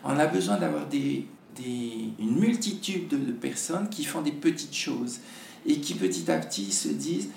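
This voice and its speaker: A hoarse man, a podcast guest, talking in a halting way with many restarts. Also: on the high side, big pitch swings, a little mellow, echoey room, a little hiss or hum. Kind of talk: casual conversation.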